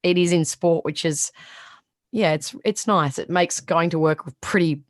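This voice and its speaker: Raspy Australian woman, blunt and animated. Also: on the low side, measured pace, no room sound, studio quality. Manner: blunt, animated, swears freely. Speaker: sports reporter, guest. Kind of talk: casual interview chat.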